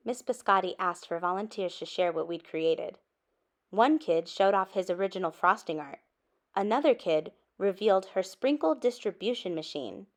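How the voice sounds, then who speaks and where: A woman reading a children's story aloud expressively, with dramatic emphasis and drawn-out words. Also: fairly low pitch. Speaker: storybook reader.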